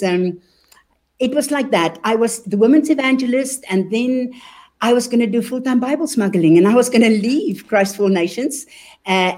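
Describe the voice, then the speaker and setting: A woman's voice: medium pitch, a little mellow. A woman with a South African accent, an evangelist sharing her story earnestly in an interview. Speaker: evangelist guest. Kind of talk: interview testimony.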